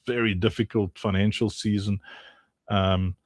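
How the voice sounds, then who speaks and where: A man's voice: fairly low pitch, flat delivery, unhurried, no room sound, studio quality. A man from Africa as an interview guest, talking about his charity work with many ums and restarts. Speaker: guest, charity and game founder. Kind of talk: interview answers.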